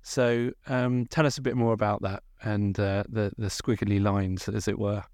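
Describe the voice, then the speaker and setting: A man's voice: lowish voice, flat delivery, moderate pace, darkish tone, dry recording, studio quality. A man hosting an interview, introducing his guest in a hesitant, appreciative way. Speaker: interview host. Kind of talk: conversational interview.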